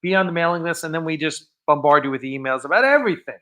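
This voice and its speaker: Male livestream host, forceful bright tone, casual, halting and rambling delivery. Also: a little high, lively intonation. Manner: rambling, halting, self-interrupting. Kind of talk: casual, unscripted livestream chat.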